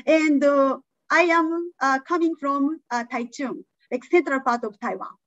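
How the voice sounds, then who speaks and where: A woman's voice: high-pitched, unhurried, a bit bright, dry recording, muffled recording. A woman, not a native English speaker, giving an academic conference talk over a video call, with many hesitant fillers. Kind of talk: online academic presentation. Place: indoor room.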